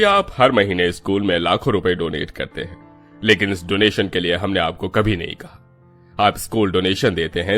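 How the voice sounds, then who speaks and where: A man's voice: low-pitched, fairly bright tone. A man voicing a stern but fair school principal, authoritative and emphatic, in a cartoon moral story.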